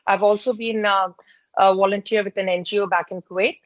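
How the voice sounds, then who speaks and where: A woman, an interview guest earnestly laying out job-search advice step by step, pausing often. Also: medium pitch, fairly even intonation, no echo. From India. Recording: dry, muffled lo-fi audio.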